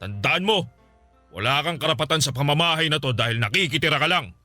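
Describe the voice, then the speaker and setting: A man playing a scornful, domineering, quick-tempered middle-aged uncle in a Filipino radio drama, scolding and sneering. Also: fairly high pitch, animated, pushed and bright, no room sound, clean studio sound, soft background music. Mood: angry, threatening.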